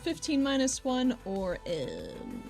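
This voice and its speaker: A woman's voice: sits high, huge pitch swings, slow pace, gravelly, a little mellow, faint music behind. A woman chatting casually as a player in a tabletop game.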